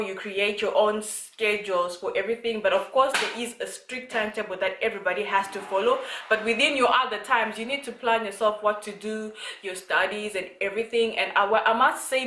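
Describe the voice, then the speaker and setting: A young woman from Zimbabwe talks casually to her viewers in a medium-pitched voice, meandering through her thoughts with frequent fillers and false starts. The room around her is noticeably echoey. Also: fairly bright tone, a little hiss or hum. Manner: chatty, rambling with many fillers and restarts. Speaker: vlogger. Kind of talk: casual talk to camera. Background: child talking in the background.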